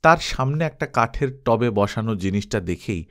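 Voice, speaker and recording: Male storyteller, medium pitch, dramatized audiobook narration, expressive delivery. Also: normal speed. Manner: expressive, acts out characters' dialogue. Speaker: storyteller reading an adventure story.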